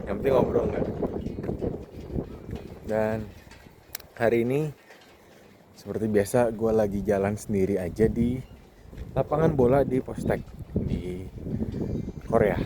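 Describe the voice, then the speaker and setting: Man, thirty, lowish voice, casual reflective monologue, podcast host, recorded outside with noticeable background noise. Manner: informal, reflective, self-talking. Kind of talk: casual walking monologue, vlog. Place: outdoors on a campus football field. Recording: noisy outdoor ambience. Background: clear outdoor background noise.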